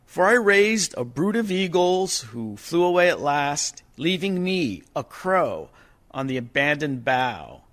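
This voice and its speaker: A man playing a defeated, self-pitying father in a solemn, measured radio monologue. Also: on the high side, big pitch swings, fairly bright tone, heavily acted. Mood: rueful, defeated. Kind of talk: theatrical monologue for community radio play.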